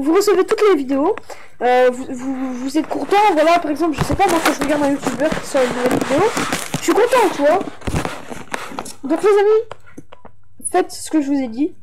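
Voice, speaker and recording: A young gaming YouTuber with a high voice and a congested, stuffy sound from a cold. She rambles through her commentary, restarting sentences and leaning on fillers. A steady hiss of noise sits under the recording. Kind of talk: casual game map showcase commentary.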